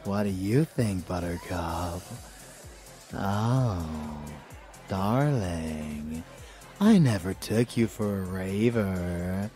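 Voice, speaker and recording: A man's voice: deep voice, warm tone. A man playing a cocky, murderous vampire lover in a roleplay audio drama, intimate, drawling and menacing, doting on the listener. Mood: flirtatious, possessive.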